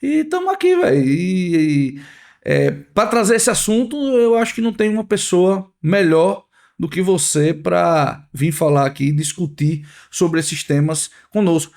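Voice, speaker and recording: A man's voice: sits high, animated, a little slow, creaky, a little mellow. A man hosting a podcast, chatting and joking while he asks his guest questions. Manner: chatty, jokey, leading questions. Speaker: podcast host. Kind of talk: casual podcast interview.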